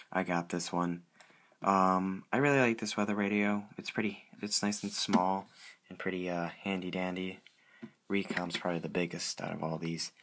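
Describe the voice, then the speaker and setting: Deep-voiced man in a casual show-and-tell. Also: fairly bright tone. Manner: halting, self-correcting. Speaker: hobbyist presenter. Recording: clearly noisy amateur home recording.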